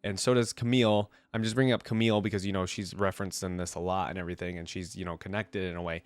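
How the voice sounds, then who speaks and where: A man's voice: low-pitched, little pitch movement, fast, dry recording. A man with a head cold giving casual running commentary as the host of a reaction video. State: congested with a cold.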